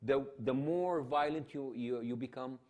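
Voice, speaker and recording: A man's voice: medium pitch, measured pace. Egyptian man hosting a stage panel. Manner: hesitant, many restarts, animated. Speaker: panel host. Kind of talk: on-stage public discussion.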